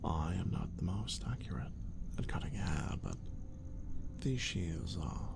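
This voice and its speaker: A man playing a gentle fantasy wolf farmer in a soft-spoken ASMR roleplay, speaking in a slightly formal, caring way with whispers. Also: deep voice, very animated, a little slow, creaky, full character voice. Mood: focused, hushed.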